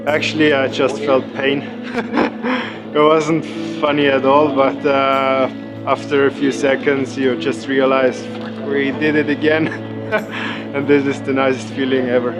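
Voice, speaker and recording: Man over loud music. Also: medium pitch, steady tone, measured pace. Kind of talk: interview.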